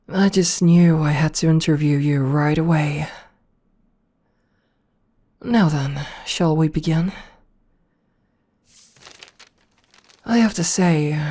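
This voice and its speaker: A woman playing a rich, possessive, seductive female CEO in an ASMR roleplay, softly formal and coaxing, with a politely commanding manner. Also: sits low. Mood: gracious, pleased. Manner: slow, softly formal, coaxing with pet names.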